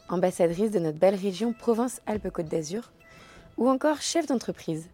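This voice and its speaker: Woman podcast host with soft music behind. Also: medium pitch. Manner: brief prompts and frequent acknowledgments. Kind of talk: podcast interview.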